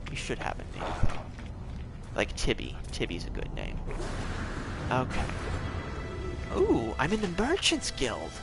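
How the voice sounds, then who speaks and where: A man's voice: mid-range voice, gravelly. A man casually commenting on his game for a live stream, rambling and tripping over his words as he plays at home. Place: home, gaming on a TV.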